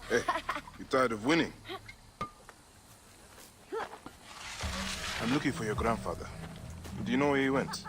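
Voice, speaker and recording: A man's voice: medium pitch, lively intonation, fast, natural voice. An actor playing an earnest, protective and determined desert lawman in a dramatic game cutscene. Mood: friendly, inquiring.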